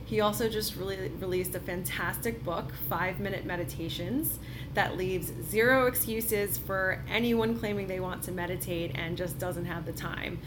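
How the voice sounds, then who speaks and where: An enthusiastic woman hosts a podcast and interviews a guest in a lively, upbeat way. She laughs easily. Steady noise runs under her voice. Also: fairly low pitch, moderate pace. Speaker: podcast host. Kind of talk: scripted intro then casual interview. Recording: noisy background.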